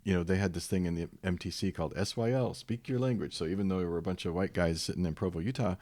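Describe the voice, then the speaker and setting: A man who is a guest on a casual podcast, with a distinctive smooth radio-style voice, telling stories in a relaxed, rambling way. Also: low-pitched, fast talker. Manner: relaxed, rambling storyteller with many restarts. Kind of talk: casual chat. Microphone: podcast microphone.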